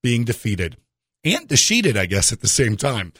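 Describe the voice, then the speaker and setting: A male radio host with a very animated, swooping delivery. He talks in a jokey, conversational monologue, speaking straight to the listener with rhetorical questions. The sound is close and dry. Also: medium pitch, moderate pace, breathy edge, no room sound.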